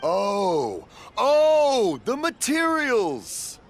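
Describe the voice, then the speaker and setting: A man's voice: very high voice, huge pitch swings, heavy background noise, heavily acted. An actor playing a cheerful, hard-of-hearing old fireworks maker and father who rambles like a storyteller in a video game. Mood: confused. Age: older. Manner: rambling, slow-witted hearing, chuckling storyteller.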